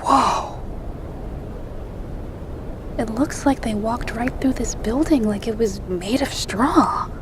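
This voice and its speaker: A woman plays a steady, realistic female sergeant. She speaks very slowly, calm and hushed. Loud ambience and vehicle noise fill the background. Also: on the high side. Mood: grim, hushed. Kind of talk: radio drama.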